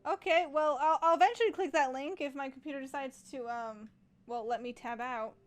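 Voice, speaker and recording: High-voiced, chatty woman streaming a game. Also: fairly quick. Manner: chatty, reacting aloud, thinking out loud. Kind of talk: casual live playthrough commentary.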